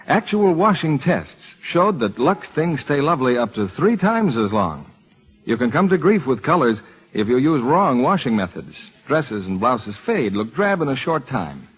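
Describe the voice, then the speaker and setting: A man's voice: medium pitch, slightly rough, rough recording. A man delivering scripted advertising as a 1940s radio announcer. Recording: old radio broadcast recording.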